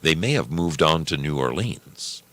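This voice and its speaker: A man reading a story aloud in a deliberate, measured manner, as scripted narration. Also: rumbling low, expressive, a bit bright, no echo. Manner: slow, deliberate, measured delivery.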